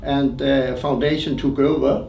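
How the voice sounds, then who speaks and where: A middle-aged or older man giving unscripted technical interview answers in halting, hesitant, non-native English. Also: mid-range voice, flat delivery, gravelly, lo-fi sound. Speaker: loudspeaker designer being interviewed. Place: indoor demonstration room at a hi-fi show. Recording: roomy, distant mic, noisy show room.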